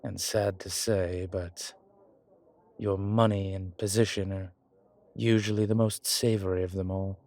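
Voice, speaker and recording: An aged, genteel male vampire acted out by a man speaks in a low register at an unhurried pace. He is theatrical, formal and a little vain, pausing as he picks his words in a close, intimate audio drama. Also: level tone. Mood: wry, vain. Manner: theatrical, formal, hesitant pauses, soft chuckles.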